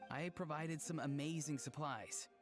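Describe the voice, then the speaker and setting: A man's voice: on the high side, normal speed, faint music behind, natural voice. A man voicing an earnest, socially awkward young farmer in a video game, with a stiff, hesitant manner. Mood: earnest, content.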